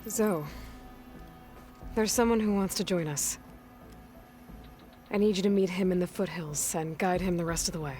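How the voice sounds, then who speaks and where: A woman's voice: on the low side, moderate pace, pauses often, dark tone, soft background music, close to an everyday voice. An actress playing a resolute young female hunter-heroine in a video game, giving direct, practical orders. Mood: businesslike. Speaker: heroine leading a mission.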